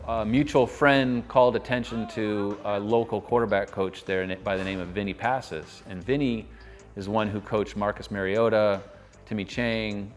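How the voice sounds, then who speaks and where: Dad from hawaii, low voice, easy storytelling in an interview. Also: male voice. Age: middle-aged. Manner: warm storytelling with fillers. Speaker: player's father. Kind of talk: documentary interview.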